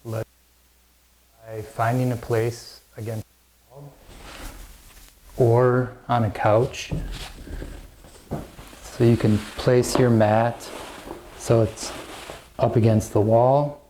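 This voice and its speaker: A man gently and calmly guiding a yoga and relaxation class in measured phrases with pauses. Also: medium pitch, a little hiss or hum, poor audio. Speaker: yoga teacher. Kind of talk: guided yoga and relaxation instruction.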